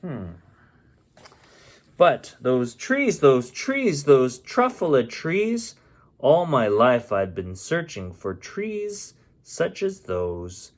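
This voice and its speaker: A man reading a rhyming children's storybook aloud as a bedtime story host, with an expressive, unhurried delivery and the odd stumble. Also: medium pitch. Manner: unhurried, stumbles and corrects himself.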